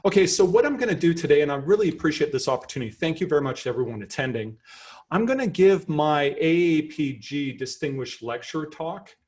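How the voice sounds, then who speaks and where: A man's voice: on the high side, expressive, a little fast, darkish tone. Chatty Canadian man giving a lecture. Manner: chatty, self-deprecating, enthusiastic, with frequent restarts. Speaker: professor giving a seminar lecture. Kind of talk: lively seminar lecture.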